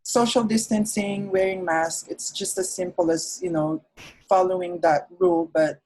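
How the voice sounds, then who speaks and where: A man from the Philippines, a nurse's aide, talking casually over a video call, soft-spoken and full of "you know" fillers. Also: sits high, expressive, normal speed, vocal fry, rough recording. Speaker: nurse's aide recovering from illness, interview guest. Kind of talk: casual interview conversation.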